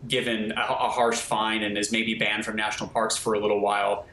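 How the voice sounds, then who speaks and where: A man's voice: fairly low pitch, level tone, brisk, pushed and bright. A man giving conversational interview answers for a news story. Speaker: news interviewee, hiking website owner. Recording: very echoey room.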